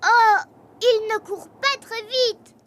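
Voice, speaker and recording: A woman's voice: extremely high pitch, fairly even intonation, a bit bright, a little hiss or hum, full character voice. A woman voicing a cheeky, curious little girl pig in a French-dubbed preschool cartoon, talking in a childlike way. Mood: candid. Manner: childlike, slow clear phrasing.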